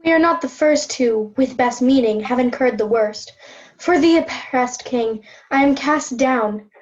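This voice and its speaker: Woman playing a high-voiced captive princess, muffled recording. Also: a little slow. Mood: sorrowful, steadfast. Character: sorrowful, dignified young captive princess. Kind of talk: Shakespearean verse read in reader's theatre. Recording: dull lo-fi sound.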